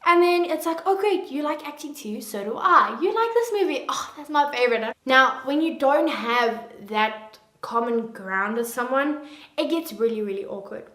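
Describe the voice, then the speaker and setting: A woman in her twenties chatting casually to camera as a vlogger, self-mocking and animated, a native English speaker. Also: high voice, normal speed, roomy sound. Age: 20s.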